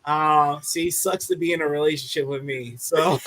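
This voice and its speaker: A man co-hosting a casual football podcast, animated and joking, laughing often. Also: fairly high pitch, moderate pace, slight background noise. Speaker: podcast co-host. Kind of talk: casual sports podcast chat.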